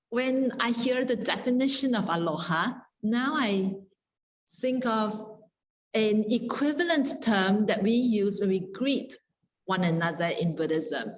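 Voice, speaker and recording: Slow, deliberate woman panelist. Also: mid-range voice, low-quality recording. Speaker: panelist, Buddhist scholar. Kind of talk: panel talk, explanatory.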